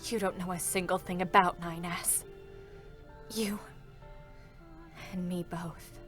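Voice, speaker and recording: A woman playing an anguished, guarded female combat android in a game story reading, with a halting, emotionally raw dramatic delivery. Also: on the low side, little pitch movement, over music. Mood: bitter, shaken. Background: musical accompaniment.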